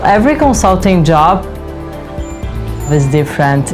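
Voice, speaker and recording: A woman's voice: on the low side, big pitch swings, unhurried. A woman from Brazil working as a management consultant, speaking earnestly and enthusiastically in a corporate testimonial. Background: background music.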